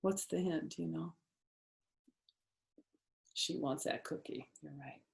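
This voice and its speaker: A woman's voice: low voice, slow pace, gravelly, a little mellow. A middle-aged or older woman, a grandmother, reading a children's storybook expressively with casual asides. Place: indoor living room on a sofa. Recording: roomy indoor echo.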